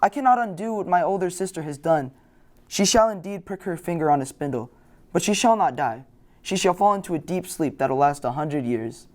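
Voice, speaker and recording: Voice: medium pitch, expressive, brisk, natural voice. A storyteller voicing a wise, kindly young fairy woman in a formal, reassuring manner.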